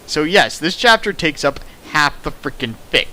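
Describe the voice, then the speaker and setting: Young male riffer, bright and slightly high voice, sarcastic comic reader. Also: sing-song, moderate pace. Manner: sarcastic, jokey, laughs while reading. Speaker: reader and riffer of a fan fiction. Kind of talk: comedic dramatic reading with riffing.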